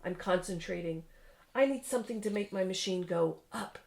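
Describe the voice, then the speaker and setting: A woman's voice: mid-range voice, normal speed, gravelly, a little mellow, natural voice. A woman reading the part of a determined young boy inventor, earnest and matter-of-fact.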